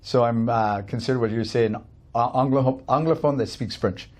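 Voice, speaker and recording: A man's voice: mid-range voice, normal speed, darkish tone. Upbeat rambling man from Canada. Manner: rambling, upbeat, many fillers. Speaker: guest, cafe owner. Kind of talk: casual storytelling interview.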